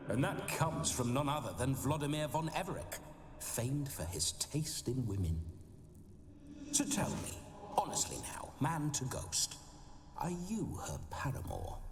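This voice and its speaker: A man's voice: mid-range voice, noisy background. An actor playing the boisterous, lecherous ghost of a nobleman in a video game, pompous and theatrical, with flowery archaic speech. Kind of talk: video game character acting.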